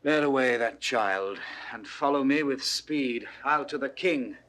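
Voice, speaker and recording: A man's voice: medium pitch, measured pace, a bit bright, lightly acted. An actor playing a stern, suspicious, grief-struck nobleman in weighty, declamatory 1960s Shakespearean style. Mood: troubled, declaiming. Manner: declamatory, weighty verse delivery. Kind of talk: 1960s classical Shakespearean recorded acting.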